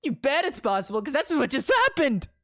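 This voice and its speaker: A man's voice: extremely high pitch, huge pitch swings, fairly quick, no room sound, studio quality, voice pushed up, heavily acted. A storyteller voicing a cheeky, boastful young male commoner hero who teases his rival. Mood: cocky.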